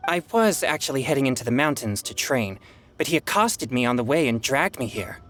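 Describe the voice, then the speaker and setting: A man voicing an earnest, reluctant teenage exorcist boy in an animated game, plain-spoken and matter-of-fact. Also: medium pitch, a little fast, faint music behind. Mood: grudging.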